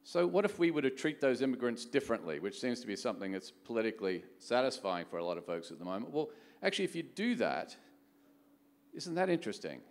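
A man's voice: medium pitch, very animated, fast. A middle-aged man gives a conference keynote in a conversational manner, often posing rhetorical questions. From Australia. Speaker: venture capital executive, keynote speaker. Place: large conference hall stage. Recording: echoey hall sound, long reverb.

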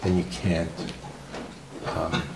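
An older man speaking softly as a guest on a discussion panel, halting with many fillers and restarts. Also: low voice, monotone, vocal fry. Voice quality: soft-spoken. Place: formal room with audience. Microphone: table microphone. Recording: roomy, distant mic, faint noise.

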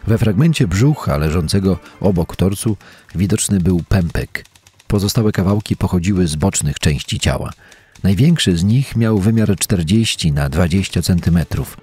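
Guy playing a detached coroner reading out a report, mellow dark husky voice. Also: low voice, lively intonation, normal speed, soft background music. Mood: detached, grim. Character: clinical male coroner reading his grim report. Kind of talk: true-crime audio dramatization.

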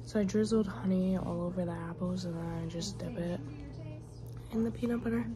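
A woman's voice: fairly low pitch, steady tone, brisk, over music. A young woman in her twenties, a college student with a cold, chatting casually to a phone camera at home, her throat scratchy and her nose congested. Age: 20s. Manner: whispering softly. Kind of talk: casual vlog chat to camera. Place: small room at home, indoors. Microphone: phone camera held close.

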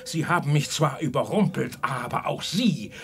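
An actor playing a pompous, gloating megalomaniac scientist villain in a theatrical science-fiction radio play. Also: male voice, on the high side, animated, moderate pace, a bit bright. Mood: smug, commanding. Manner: pompous, theatrical, speaks of himself in third person.

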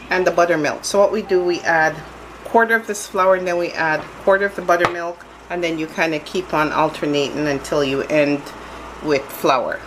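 Chatty woman over a loud hand mixer. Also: fairly low pitch, background noise. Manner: chatty step-by-step instructions with restarts. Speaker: home baking vlogger. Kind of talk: casual cooking demonstration. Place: home kitchen counter.

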